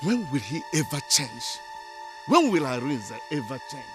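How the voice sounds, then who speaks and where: A man's voice: mid-range voice, very animated, moderate pace, natural voice. An actor with a Nigerian accent playing an indignant village father in a film drama, ranting in rhetorical questions with music behind. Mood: outraged. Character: indignant village father spitting threats at a troublemaker.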